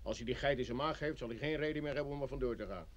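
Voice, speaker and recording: An actor playing a gruff, matter-of-fact village gravedigger, dryly comic and sure of himself, in an old Dutch radio drama. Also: male voice, mid-range voice, rapid-fire, natural voice. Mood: assured.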